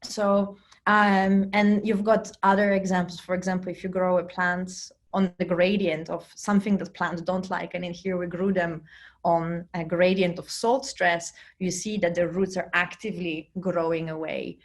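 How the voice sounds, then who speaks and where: A woman's voice: lowish voice, flat delivery, normal speed. Woman from Poland, native Polish speaker, thirties, online lecture, chatty, restarts sentences, computer mic. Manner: conversational, frequent fillers and self-restarts. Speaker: plant scientist lecturing students. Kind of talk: recorded virtual lecture. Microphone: computer mic on a video call.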